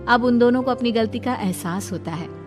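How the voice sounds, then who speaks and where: A woman's voice: on the high side, moderate pace, music in the background. A woman narrating a scripted story.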